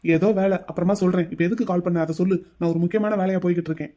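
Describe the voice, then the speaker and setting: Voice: medium pitch, steady tone, very fast, warm tone, voice pushed down, close to an everyday voice. A performer voicing a curt, distant elder brother who speaks in a clipped, dismissive way in an audio drama. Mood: cold.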